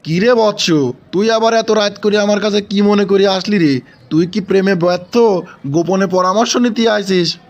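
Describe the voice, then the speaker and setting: A man acts out a comic cartoon guru who lives in the forest. His voice is notably high, with a Bangladeshi accent. He preaches and chides in an exasperated way, repeating his orders for emphasis. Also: fairly even intonation, moderate pace, highs cut off. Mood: chiding. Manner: preachy, chiding, repeats commands. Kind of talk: exaggerated comic cartoon acting.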